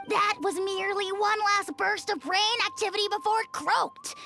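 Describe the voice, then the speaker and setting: A woman's voice: very high-pitched, steady tone, moderate pace, over music. A woman voicing a snarky, haughty non-human creature who mocks humans. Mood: sardonic. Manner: sardonic, lofty. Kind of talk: video game voice acting.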